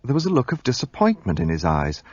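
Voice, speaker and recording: A man's voice: mid-range voice, wildly swooping pitch, fast talker, a little mellow, slightly rough, no echo, dull audio. An actor voicing an observant Englishman who narrates the story in past tense. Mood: narrating. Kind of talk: radio drama narration.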